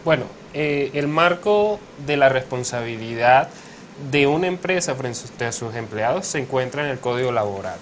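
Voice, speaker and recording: A young law professor with a Colombian Caribbean coast accent speaks in a measured, explanatory way and often fills his pauses. His voice sits at a middle pitch. Faint ambient noise hangs behind him. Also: lively intonation. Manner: measured, with frequent hesitations and fillers. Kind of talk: academic interview explanation.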